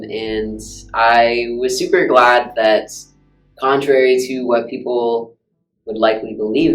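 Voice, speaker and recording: A young student from Alaska, recounting family history in a measured, articulate way. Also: male voice, lowish voice, low-quality recording. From Alaska, USA. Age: young adult. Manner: measured, articulate with occasional fillers. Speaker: student interviewee. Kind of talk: personal storytelling.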